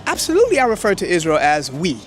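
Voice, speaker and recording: A man's voice: high voice, huge pitch swings, fast, slight background noise. A man whose first language is Hebrew, explaining history emphatically in an interview outdoors. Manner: emphatic, lecturing, with many "right?" checks. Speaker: interview guest.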